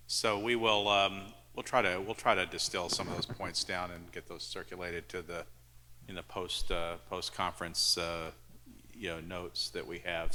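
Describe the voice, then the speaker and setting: A man's voice: deep voice, bright, forceful tone. A man moderating a conference panel, polite and organized as he opens questions to the audience. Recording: echoey conference hall, faint noise.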